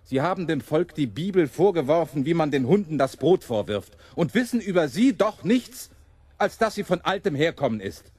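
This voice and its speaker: Radio-play rebel preacher: impassioned champion of the poor, higher-pitched, very animated, oratorical. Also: male voice, normal speed, slightly rough. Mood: impassioned, bitter. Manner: oratorical, mocking the powerful. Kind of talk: German radio play monologue.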